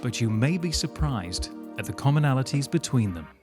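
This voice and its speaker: A man reading a scripted introduction in an even, measured way. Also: medium pitch. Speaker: narrator. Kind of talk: scripted narration. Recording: music underneath.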